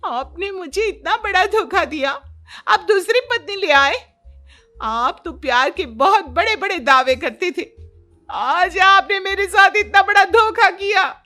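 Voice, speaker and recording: A woman voicing an indignant young Indian wife in a cartoon story, with a shrill, theatrical, complaining manner. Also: lively intonation, measured pace, pushed and bright, faint music behind, heavily acted. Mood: hurt, accusing. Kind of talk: exaggerated cartoon story dialogue.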